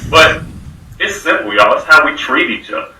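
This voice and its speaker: A man's voice: a little high, very animated, moderate pace, sharp tone, a little hiss or hum. A man, a school board member giving an urgent, rallying speech to a crowd. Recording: echoey room, voice from far off.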